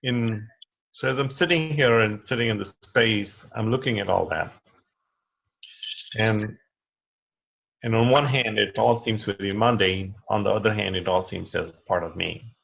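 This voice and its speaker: Man, low gravelly voice, candid rambling share, lo-fi call sound. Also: a little slow, pauses often, fairly bright tone. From India. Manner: candid, rambling, laughs easily. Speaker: participant. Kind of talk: personal sharing. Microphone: video call. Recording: lo-fi web call audio.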